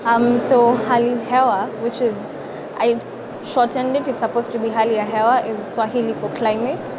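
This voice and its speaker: Young woman from Kenya, twenties, earnest, flat steady delivery, loud traffic and crowd noise behind. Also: on the high side. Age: 20s. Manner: earnest, measured, with frequent um fillers. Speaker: interview guest, climate activist. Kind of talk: conversational interview answers. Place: studio at an outdoor event.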